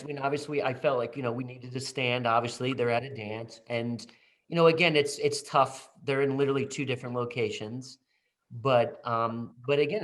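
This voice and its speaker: A man's voice: medium pitch, fairly bright tone. A man who directed a play, speaking in a casual video-call talkback, rambling with many restarts and fillers.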